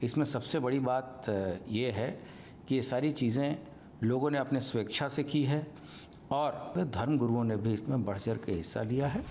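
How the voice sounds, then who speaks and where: A man speaking as an official briefing the press, measured and halting, with pauses. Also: medium pitch, darkish tone. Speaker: government official. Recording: faint ambient background noise.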